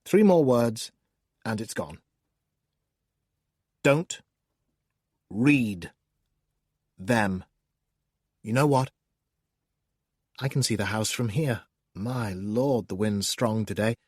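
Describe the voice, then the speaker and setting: A man's voice: lowish voice, expressive, drawn-out words, pauses often, no echo, studio quality, full character voice. A man reading as a taunting demon. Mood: mocking. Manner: taunting, theatrical, swings between hushed and loud. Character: scornful, menacing male demon addressing the reader. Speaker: audiobook narrator. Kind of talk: first-person audiobook narration.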